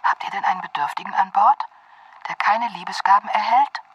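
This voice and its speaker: An actress playing a caring mother reading out her letter in a radio drama, in a breathy, husky voice. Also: mid-range voice, fairly quick, dry recording, muffled recording, close to an everyday voice. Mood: kindly, eager. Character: caring mother writing to her sailor son.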